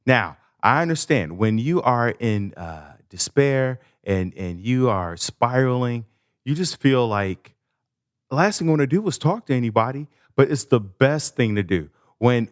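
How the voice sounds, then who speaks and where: A man's voice: medium pitch, clear, resonant tone, no echo. Casual male podcast host, close and dry. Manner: informal, playful, slangy asides. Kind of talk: casual conversational podcast ad read and interviewing.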